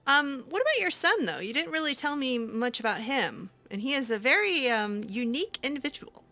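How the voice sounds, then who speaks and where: Young woman gamer-roleplayer: bright, lively tone, hesitant, filler-heavy delivery. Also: high-pitched, a little fast. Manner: hesitant, lots of fillers, laughs easily. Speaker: let's-play roleplayer. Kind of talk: casual in-character game roleplay.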